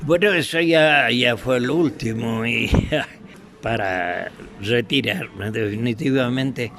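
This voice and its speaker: An older man with an Ecuadorian accent, a veteran radio actor reminiscing in an interview, haltingly searching for his words. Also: mid-range voice, vocal fry, a bit bright, soft background music.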